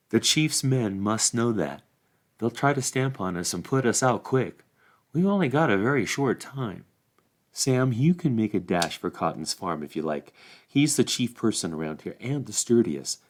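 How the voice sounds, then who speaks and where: Guy reading as a resolute hobbit rallying his friends, emphatic, pitch jumps around a lot. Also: lively intonation, a little slow. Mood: urgent, rallying. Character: earnest, resolute hobbit adventurer urging his companions to act.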